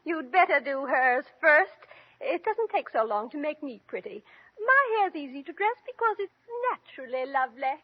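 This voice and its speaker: A woman's voice: high voice, very animated, normal speed. A woman playing a conceited, mean young stepsister with a superior, theatrical, emphatic manner, in old radio play style. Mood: sneering. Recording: muffled old radio recording.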